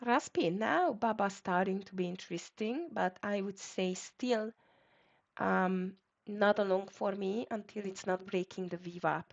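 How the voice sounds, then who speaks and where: A woman's voice: medium pitch, takes it slowly, vocal fry. A woman with a Hungarian accent, hosting a live trading stream and calling out stock tickers in clipped bursts with fillers. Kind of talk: live market commentary. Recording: dull lo-fi stream audio.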